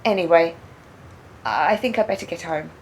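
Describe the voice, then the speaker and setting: A woman's voice: lowish voice, normal speed, slight background noise, close to an everyday voice. An actress playing a frank, wry, grieving woman in a naturalistic radio drama, speaking reflectively and repeating phrases for emphasis. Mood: wry, brittle. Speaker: radio play actor.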